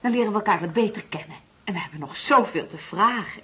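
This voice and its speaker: A woman playing an anxious, hospitable wife and mother in a Dutch radio play, expressive and coaxing. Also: medium pitch, fast, breathy edge. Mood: warm, coaxing.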